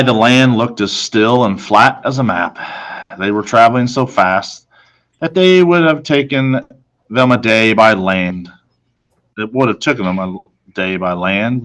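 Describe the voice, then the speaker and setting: A man with a fairly low voice narrates a storybook for children. He reads dramatically and leaves deliberate pauses for effect. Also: fairly bright tone. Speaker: storybook reader.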